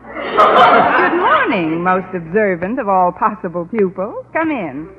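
An American woman playing a wry, quick-witted English teacher with dry, sardonic delivery in old radio comedy. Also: mid-range voice, sing-song, crowd noise. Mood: polite, wry. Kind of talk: 1940s-50s radio comedy acting. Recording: noisy, muffled old radio recording.

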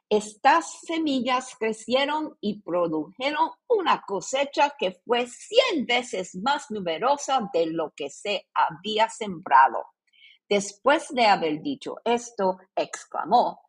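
Slow-speaking Puerto Rican woman, husky voice. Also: mid-range voice. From Puerto Rico. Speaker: religious podcast host. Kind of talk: scripted devotional narration and scripture reading.

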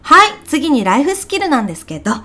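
High, bubbly woman acting a kindergarten teacher. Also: quick pace, exaggerated voice. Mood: effusive praise. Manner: polite, effusive, animated. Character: chirpy, gossipy female kindergarten teacher who overshares. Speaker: kindergarten teacher in a comedy sketch. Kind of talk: comedic short radio drama, phone meeting.

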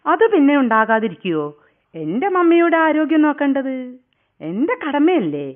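An actress playing a daughter on a video call in a radio play, sweet and overly solicitous, heard through a phone effect. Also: female voice, sits high, big pitch swings, moderate pace. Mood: sugary. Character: daughter on a video call, sugary and falsely caring. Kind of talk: radio drama acting. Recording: tinny phone line.

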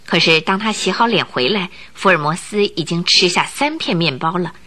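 A woman's voice: fairly low pitch, animated, normal speed, bright, forceful tone. A woman narrating a radio drama in a dramatic, scripted storytelling style.

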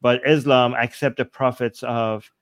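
A man hosting a casual panel discussion, sarcastic, mocking arguments with quick imitated quotes. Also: medium pitch, fairly even intonation, smooth, no room sound. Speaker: host.

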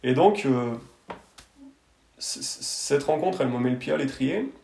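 A man speaks with a gravelly, creaky voice at a medium pitch, in a roomy, echoing space. He tells his story as a podcast guest, casually and colloquially, often hesitating and adding little verbal tags. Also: lively intonation, unhurried, darkish tone, slight background noise.

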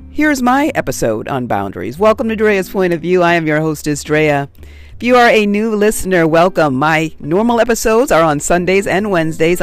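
A woman with a nasal voice talks frankly and unscripted as she hosts her own podcast, telling personal stories in a chatty way. Faint music sits underneath. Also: lowish voice. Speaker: podcast host.

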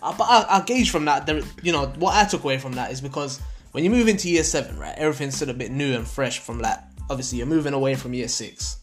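A man's voice: mid-range voice, fast, faint music behind. Young man hosting casually. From Portugal. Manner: laid-back slangy banter, laughs often. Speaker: podcast host. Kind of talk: casual podcast chat.

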